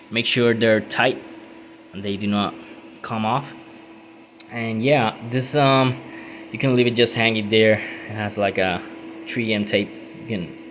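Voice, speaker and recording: A young man from Puerto Rico with a Puerto Rican Spanish accent, walking through a car headlight wiring fix in a casual tutorial, pausing often with ums. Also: fairly low pitch, noisy background. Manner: casual, halting, many ums and restarts. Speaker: car modding hobbyist. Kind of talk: hands-on how-to tutorial.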